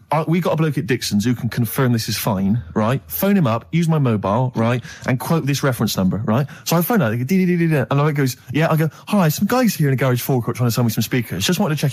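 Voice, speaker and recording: A man's voice: medium pitch, animated, quick pace, a little mellow. A man telling a comic anecdote as a radio co-host, chattily acting out the dialogue.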